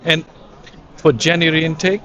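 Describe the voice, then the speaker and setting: A man interviewing university representatives, asking short questions slowly, with hesitations. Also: fairly high pitch, flat delivery, dull audio. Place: busy education expo hall. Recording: crowd noise behind. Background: crowd chatter.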